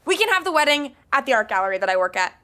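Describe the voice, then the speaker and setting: An eager, self-sacrificing woman friend in a sitcom. Her voice is high and forceful, with pitch that leaps around. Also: very animated, rapid-fire, clean tone. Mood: reassuring, determined. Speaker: sitcom actor. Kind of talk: podcast sitcom acting.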